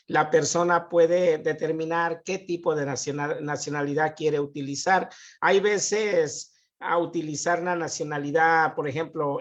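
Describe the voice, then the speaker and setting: A man's voice: a little high, highs cut off. A man, a guest expert on immigration, methodically explaining legal questions on a live show.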